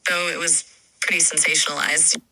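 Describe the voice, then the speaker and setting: A woman's voice in an AI chat, very bright and clear, with a fairly low pitch. She calmly sums up what she has heard and gently probes with follow-up questions. The sound is dry and close. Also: brisk, dry recording, low-quality recording. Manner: calm, summarizing, gently probing. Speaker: AI chat partner. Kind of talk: conversational questioning.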